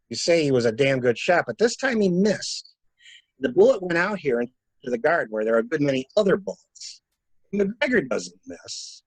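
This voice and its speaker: A man playing a calculating murderer who poses as a helpful investigator, musing theatrically in measured phrases in an amateur mystery play. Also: a little high, breathy edge, dry recording, full character voice. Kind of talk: amateur radio-play style mystery acting.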